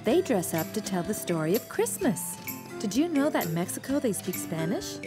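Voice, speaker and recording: A woman voicing a gentle, patient young mother who explains things encouragingly in a preschool cartoon. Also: mid-range voice, sing-song, normal speed, music in the background, natural voice. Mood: patient, bright.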